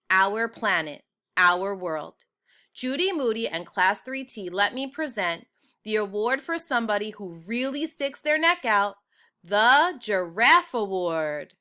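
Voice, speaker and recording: A proud female school official from a storybook, read by a woman. She speaks in a bright, middle-pitched voice. Her delivery is formal and ceremonious, with firm emphasis for a hall of pupils. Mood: ceremonious praise.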